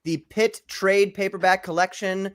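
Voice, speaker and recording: A man's voice: high-pitched, steady tone, normal speed, clean tone. Chatty man hosting a livestream. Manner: chatty, apologetic, laughs often. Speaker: host. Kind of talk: casual live-stream chat.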